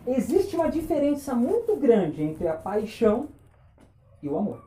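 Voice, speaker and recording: A man preaching a sermon, impassioned, firing rhetorical questions and dropping between loud and hushed. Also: very high-pitched, big pitch swings, normal speed, roomy sound. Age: 20s.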